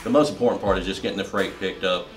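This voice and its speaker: A man's voice: low voice, fairly even intonation, quick pace, faint music behind. A man, a veteran truck driver answering interview questions in a plainspoken, matter-of-fact way. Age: 50s. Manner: plainspoken, matter-of-fact, lists in short bursts.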